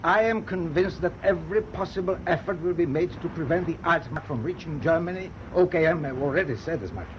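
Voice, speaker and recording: A formal, commanding German ship's captain, played by a male actor in a radio drama. His voice sits fairly high and he speaks with measured, determined authority. The recording is dull, with faint noise. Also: close to an everyday voice. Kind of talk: British radio drama acting.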